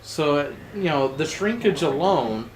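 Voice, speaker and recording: Casual joking male gamer co-host, animated delivery, roomy sound with game music underneath. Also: a little high, unhurried, crowd noise. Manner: rambling, joking, lots of fillers. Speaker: let's play co-host. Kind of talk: casual gameplay commentary.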